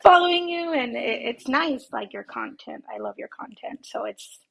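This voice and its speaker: A woman's voice: high voice, lively intonation, normal speed, vocal fry, low-quality recording. A woman in her twenties from Southern California, a podcast guest talking casually with many ums and a shy laugh. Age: 20s. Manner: hesitant, lots of ums and likes, soft laughs. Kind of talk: casual interview chat.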